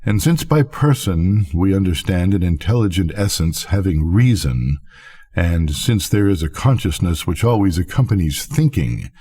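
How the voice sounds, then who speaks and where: An older man reading a literary story aloud as a bedtime storyteller, in measured, deliberate phrases with pauses. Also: low voice, animated, dark tone, slightly rough. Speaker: storyteller, reader.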